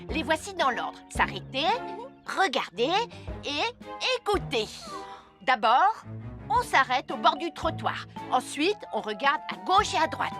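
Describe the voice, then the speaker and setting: A woman voicing a boastful, playful young boy who pretends to be a superhero in a cartoon dub. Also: extremely high pitch. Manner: showy, playful. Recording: cartoon dub with music bed.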